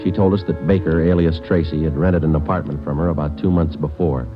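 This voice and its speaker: An actor playing a dogged, stoic police detective in a 1940s radio drama, speaking in clipped, matter-of-fact, deadpan sentences. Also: male voice, very deep voice, fairly quick. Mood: dry, weary. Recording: muffled old radio recording with music.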